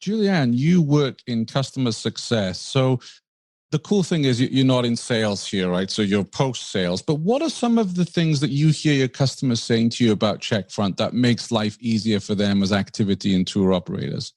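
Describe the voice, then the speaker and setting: A man's voice: medium pitch, lively intonation, moderate pace, a little mellow, no room sound, clean studio sound. A man hosting an interview, asking friendly questions with light jokes. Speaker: podcast host. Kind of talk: interviewing guests.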